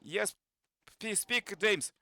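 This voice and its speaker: A man speaking non-native English, hosting an informal online meeting with halting, broken phrasing. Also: high voice, bright, forceful tone, no room sound, clean studio sound. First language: not English. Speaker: presenter and host of an online peace training. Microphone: video call microphone.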